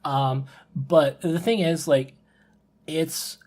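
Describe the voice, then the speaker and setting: A man's voice: a little high, steady tone, extremely slow, a little mellow. A man chatting in a casual podcast discussion, feeling his way through points and acting out imagined quotes.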